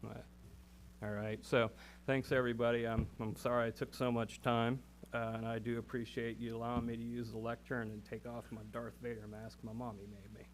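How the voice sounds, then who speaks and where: A man's voice: medium pitch, level tone, moderate pace, a little hiss or hum. A man on a city council speaking in earnest, folksy remarks with many fillers. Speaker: council member.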